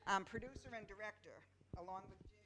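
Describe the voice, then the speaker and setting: A woman's voice: mid-range voice, fast, a crowd in the background. A woman giving an informal, somewhat hesitant welcome speech to a congregation as the director of an amateur play. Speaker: amateur play producer and director introducing a performance. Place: church during a Sunday service.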